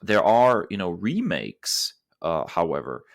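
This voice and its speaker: A man's voice: low voice, big pitch swings, a little slow, creaky, no echo, clean studio sound. A man co-hosting a podcast, talking casually and emphatically as he gives his opinions. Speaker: podcast co-host.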